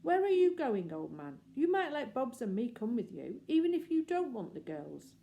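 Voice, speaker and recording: A woman's voice: a little high, sing-song, normal speed, vocal fry, mellow, slight background noise, voice pushed up. A woman voicing a plucky, slightly sly schoolboy in a storybook, talking in a matey, grown-up manner. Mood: matey, coaxing. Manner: putting on man-to-man tone.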